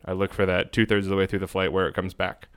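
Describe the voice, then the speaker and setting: Very low, smooth-voiced man chatting. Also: flat delivery, fast talker, a bit bright. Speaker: podcast co-host. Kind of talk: casual podcast chat and explanation.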